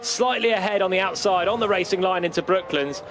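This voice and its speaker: A man's voice: high-pitched, fairly quick, background noise. A man giving live analysis of a motor race as co-commentator.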